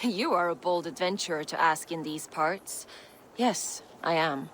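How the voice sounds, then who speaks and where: A woman's voice: fairly low pitch, unhurried, a bit bright, slight background noise. An actress playing a wry scout in a game cutscene, dry and knowing in her advice. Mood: amused. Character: wry woman scout near a castle. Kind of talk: video game cutscene acting.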